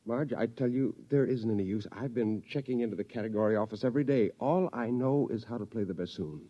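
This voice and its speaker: An actor playing an anxious bassoonist who has lost his job to a robot, in a 1950s radio drama. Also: fast talker, dark tone, natural voice. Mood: dejected. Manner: earnest, flustered, first-person narration. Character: laid-off male bassoonist, anxious, put-upon family man. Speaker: narrator and lead character. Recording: muffled 1950s radio recording with music.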